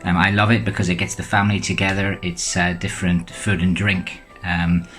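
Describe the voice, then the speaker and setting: Quick Northern Irish man, very low voice. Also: flat delivery, a bit bright, faint music behind. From Northern Ireland. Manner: quick, many uh and um fillers. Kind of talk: casual self-introduction to camera. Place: bright room with plain wall.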